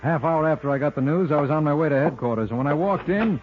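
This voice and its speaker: An actor playing a wry, cool-headed, slangy criminal lawyer and detective in a 1950s radio drama. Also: male voice, a little high, faint music behind, natural voice. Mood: sardonic. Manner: wry, quick-witted, slangy. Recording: muffled old-time radio recording.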